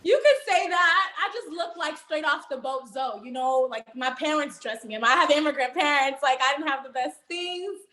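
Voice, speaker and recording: A woman's voice: high-pitched, moderate pace, few pauses, sharp tone, roomy sound. A young woman from Haiti raised in Miami, talking animatedly and laughing often as an interview guest. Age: young adult. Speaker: guest, performer. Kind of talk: casual interview.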